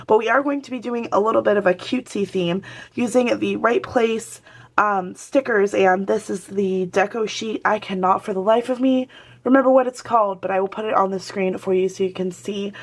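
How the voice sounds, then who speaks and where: Hoarse-voiced woman host, chatty and easygoing, talking viewers through a planner spread. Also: medium pitch. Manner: chatty, talks to viewers while working. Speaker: planner vlogger. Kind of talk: casual crafting chat.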